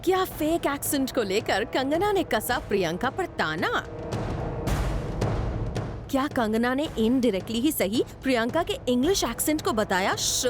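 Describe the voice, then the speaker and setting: A woman voices a showbiz news report with theatrical, questioning flair and a slightly high pitch. Her tone rises and falls a great deal as she reads. Music plays clearly underneath her voice. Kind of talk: scripted entertainment news voice-over.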